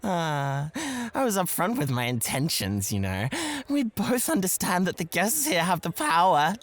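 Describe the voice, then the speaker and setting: Woman acting a gushing, flirty club host, swooping pitch. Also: unhurried. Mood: sly, teasing. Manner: breathy, gushing, giggly, stammering with excitement. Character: flirty, excitable, sly nightclub host, a possessive rival. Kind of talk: ASMR roleplay acting.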